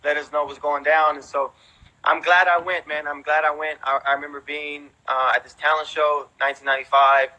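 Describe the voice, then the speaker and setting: A man's voice: a little high, little pitch movement, brisk, pushed and bright, low-quality recording. A man in his thirties with an American accent, telling stories casually as an interview guest, with an informal way of talking full of conversational tags. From raised in Houston, United States, born in Mexico. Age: 30s. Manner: informal, peppers talk with "you know" and "man". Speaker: interview guest, veteran breakdancer.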